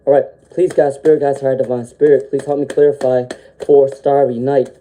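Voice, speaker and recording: Tarot reader, live-stream reading, has a cold, lots of um fillers, tags okay? on sentences, mid pitch. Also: flat delivery, fairly quick, a little hiss or hum. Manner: ends sentences with a checking "okay?"; frequent "um" fillers, gentle reassuring delivery.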